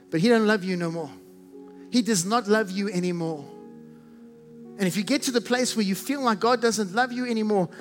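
South African preacher, very high male voice, emphatic and repetitive, leans hard on key words; long hall reverb with faint music underneath. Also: fairly bright tone. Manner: emphatic, repeats strings of synonyms, stretches key phrases slowly. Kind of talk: sermon.

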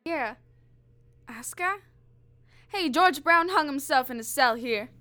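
A woman voices a small-time crook with a very high, bright, brash voice. The crook swings between street-tough defiance and frightened pleading, all in the style of old radio drama. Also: animated, takes it slowly, exaggerated voice. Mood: scared, defiant.

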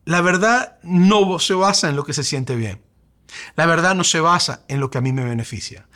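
A man's voice: mid-range voice, fairly bright tone. A male pastor from Venezuela with a Venezuelan Spanish accent, preaching a sermon with rising emphasis and rhetorical questions.

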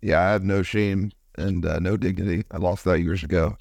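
Deep gravelly baritone man hosting. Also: fairly even intonation, moderate pace, darkish tone, no echo, studio quality. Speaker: podcast host and musician. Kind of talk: casual podcast conversation.

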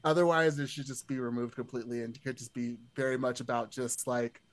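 A man's voice: medium pitch, little pitch movement, a little fast. Rambling man in casual discussion. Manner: rambling, circling sentences full of "like". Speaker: podcast guest.

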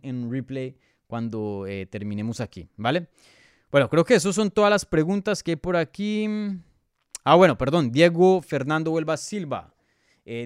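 A man's voice: on the high side, clean tone, no room sound, studio quality. A man with a Bogotá Colombian accent hosting a casual live sports podcast, talking conversationally and thinking aloud as he gives his analysis. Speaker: podcast host and MMA analyst. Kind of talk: casual live sports preview, answering viewer questions.

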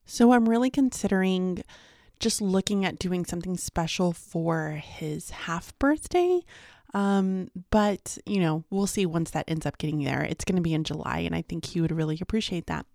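A woman's voice: on the low side, moderate pace, gravelly, a little mellow, no echo, clean studio sound. A woman, chatting casually in a rambling daily vlog, sounding sleepy. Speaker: vlogger.

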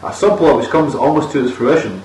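A man's voice: mid-range voice, a little fast, darkish tone. Sarcastic man, roomy distant sound. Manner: dry, sarcastic, laughs at his own jokes. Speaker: podcast co-host. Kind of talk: casual film review chat. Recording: roomy, distant mic, faint ambience.

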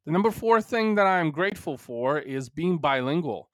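A man's voice: fairly high pitch, animated, normal speed, fairly bright tone, no room sound, studio quality. A man born in Bulgaria, a native Bulgarian speaker, hosting a solo podcast in an earnest, rambling way full of "you know" fillers. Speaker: podcast host.

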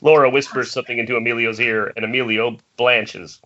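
A man narrates screenplay action at an amateur table read. His voice is mid-pitched and bright. The sound is a little dull, like an internet call. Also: fairly even intonation, fairly quick. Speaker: narrator reading stage directions. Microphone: remote call from home. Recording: muffled internet call audio.

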